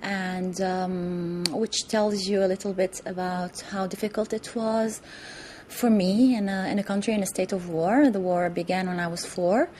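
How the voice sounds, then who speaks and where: A woman from Lebanon, answering interview questions thoughtfully, with many pauses, fillers and restarts, in a TV studio. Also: mid-range voice, steady tone, warm tone, a little hiss or hum. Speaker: interview guest, author.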